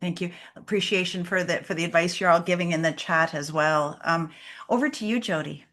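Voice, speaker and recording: Low-voiced woman moderating a panel. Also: brisk, fairly bright tone. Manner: affirming, passes the floor by name.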